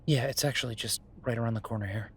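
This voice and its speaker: A man acts out an easygoing chef in an audio roleplay, speaking at a middling pitch. He is earnest and reassuring, chatting in halting, conversational phrases as if to a close partner. Noticeable ambient noise runs behind him. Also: a bit bright, natural voice. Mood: casual, enthusiastic. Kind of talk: roleplay audio drama, conversational monologue to listener. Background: ambient sound effects.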